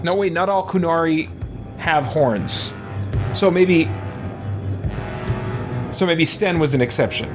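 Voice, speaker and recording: A man's voice: a little high, lively intonation, takes it slowly, pauses often, over music. A man giving casual gameplay commentary, thinking aloud in a rambling, musing way. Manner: rambling, musing, trails off into side thoughts. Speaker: gaming streamer, let's player.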